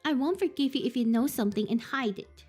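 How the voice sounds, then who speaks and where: A woman's voice: high-pitched, fast talker, darkish tone, breathy edge, faint music behind. A woman voicing a suspicious, agitated teenage schoolgirl who demands answers. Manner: demanding, accusing.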